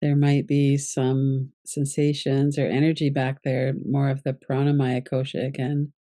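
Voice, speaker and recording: A woman teaching yoga nidra, leading a guided relaxation meditation in a calm, gentle, unhurried way. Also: rumbling low, dry recording, studio quality.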